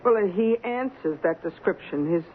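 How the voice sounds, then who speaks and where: A woman's voice: mid-range voice, lively intonation, normal speed, natural voice. An actress playing a fussy, nosy landlady, chatty and hesitant, in 1970s radio drama style. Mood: impressed, self-satisfied. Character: fussy, nosy, self-assured female landlady. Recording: narrow-band old radio, faint noise.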